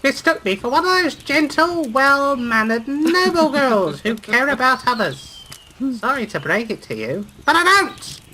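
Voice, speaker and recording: A woman's voice: high-pitched, pushed and bright, slight background noise, heavily acted, poor audio. A woman voicing a spoiled, haughty young stepsister in a visual novel, snide, bossy and sarcastic. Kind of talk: acted reading of visual novel dialogue.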